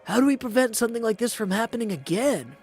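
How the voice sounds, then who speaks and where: A man's voice: very high voice, lively intonation, fast, a little mellow, husky, faint music behind, voice pushed up, full character voice. A man voicing an earnest, perplexed young goddess in a video game, speaking formally and questioningly. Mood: concerned, insistent. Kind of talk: video game character practice.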